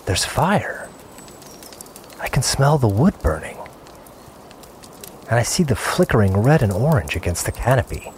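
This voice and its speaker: A man's voice: medium pitch, very animated, measured pace, pauses often, darkish tone, a little hiss or hum. A man playing an earnest, obsessive gamer in an audio drama, confiding his play session in short, broken-up phrases. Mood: wary, tense. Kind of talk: first-person scripted audio drama narration.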